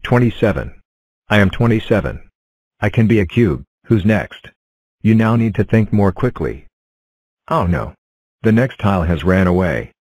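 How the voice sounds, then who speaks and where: A man narrating and voicing number characters in a fan cartoon with a plain, even delivery. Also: fairly low pitch, moderate pace, pauses often, no echo.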